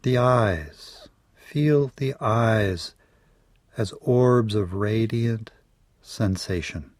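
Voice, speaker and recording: Slow, low-voiced man guiding meditation. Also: dry recording. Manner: measured, soothing delivery with phrases trailing off softly. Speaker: meditation guide. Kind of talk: guided yoga nidra meditation.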